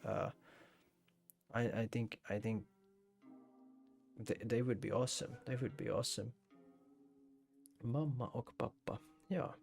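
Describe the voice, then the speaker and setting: A man with a Finnish accent hosts a relaxed photo-editing stream. His voice is mid-pitched and creaky, and he thinks aloud, often hesitating and repeating words. Faint music plays behind him. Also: a little slow, pauses often. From Finland. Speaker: photography livestream host, street photographer. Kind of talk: casual chat while editing photos.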